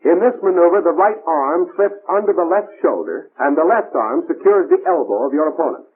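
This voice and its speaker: A man's voice: fairly high pitch, expressive, a little fast, breathy edge. A man narrating a 1940s military training film in scripted, clipped, imperative instructions. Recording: tinny band-limited 1940s film soundtrack.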